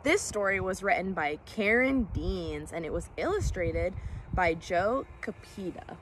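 A woman's voice: fairly low pitch, lively intonation, measured pace. A young adult woman reading a children's story aloud as a storytime host, recorded outdoors on a camera a short distance away. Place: outdoors in woods by a creek. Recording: clear outdoor background noise, clicks.

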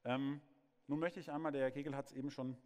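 A man's voice: medium pitch, fairly even intonation, brisk, darkish tone, clean tone. Man, 30s, keynote speaker; loose, unscripted delivery with "ähm"s, large reverberant hall. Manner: speaks freely with frequent fillers and restarts. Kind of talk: conference keynote talk. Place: large hall on a conference stage.

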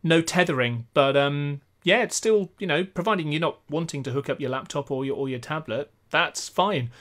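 A man's voice: fairly high pitch, normal speed, a bit bright. A man in his forties with a British accent, explaining things in a casual tech discussion on a studio mic. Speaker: podcast co-host. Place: home office, video call. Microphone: studio condenser mic.

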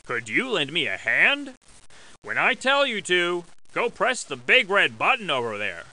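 A man's voice: high-pitched, wildly swooping pitch, a little slow, sharp tone, heavily acted, low-quality recording. A man voicing an over-enthusiastic, eerie schoolteacher in a video game, with an exaggerated cartoon voice and emphatic delivery. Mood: gleeful. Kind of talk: video game character voice lines.

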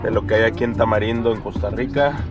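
A man's voice: fairly low pitch, fast talker, over music. A young Mexican man with a Mexican Spanish accent, hosting a casual, upbeat travel vlog and often laughing. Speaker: vlogger for a freestyle league channel.

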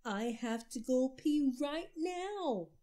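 Lady doing a loud stubborn little kid, high voice, storybook boy yelling. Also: lively intonation, slow. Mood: urgent. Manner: emphatic, yelling.

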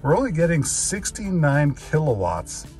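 A man's voice: a little high, big pitch swings, moderate pace, a little mellow, faint music behind. Chatty man vlogging from his car. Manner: chatty, enthusiastic, many fillers. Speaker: EV road trip vlogger. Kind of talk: casual vlog talk to camera. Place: inside a parked car. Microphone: phone camera at arm's length.

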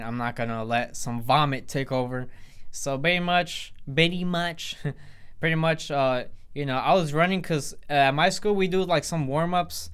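A man's voice: medium pitch, fairly bright tone, clear, resonant tone. A teenage boy telling a storytime vlog to camera in a casual, rambling way, full of fillers and restarts. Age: teens. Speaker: vlogger. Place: indoors in front of a plain grey backdrop.

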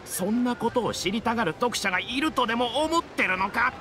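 Smug tabloid reporter, high swooping voice. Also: male voice, brisk, noisy background. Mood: irritated, scolding. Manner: oily flattery turning to sneering scorn. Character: smug, pushy middle-aged male tabloid reporter. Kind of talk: dramatic Japanese video game voice acting.

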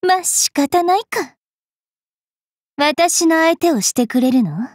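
A young female fairy knight from an anime game, with a very high voice and slow, drawn-out phrasing. She is cruel and wilful, haughty and taunting, with a rough tongue. The recording is dry and close. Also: lively intonation, no room sound, exaggerated voice. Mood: mocking, sweet. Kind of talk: anime mobile game voice lines.

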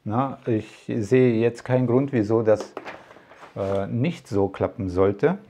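A man's voice: on the low side, takes it slowly, warm tone, a little hiss or hum. A man talking casually to camera as a tech vlogger, rambling with many fillers and asides. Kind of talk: casual product talk to camera.

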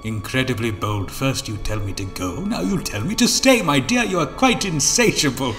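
A man's voice: mid-range voice, expressive, moderate pace, fairly bright tone, soft background music. A man playing a mocking, theatrical villain schemer in an audio drama, silky and taunting in his florid delivery. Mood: sly, taunting.

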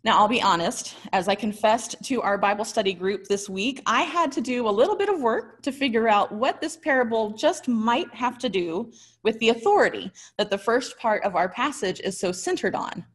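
A woman in her thirties with an American accent preaches in a fairly high voice. She speaks as a pastor working from prepared remarks, keeping the delivery conversational. Her storytelling is expressive and articulate. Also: moderate pace. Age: 30s. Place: small indoor room.

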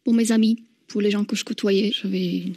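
A woman from Slovakia, speaking French as a second language with a Slovak accent, answering a radio interview thoughtfully, with many restarts and soft laughs. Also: medium pitch, fairly even intonation, a little fast. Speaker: interviewed novelist.

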